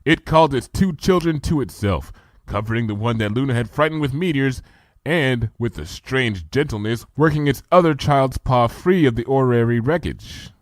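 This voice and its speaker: A man narrating a story in an expressive, animated reading style. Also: medium pitch, moderate pace, no room sound. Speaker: fanfic reader.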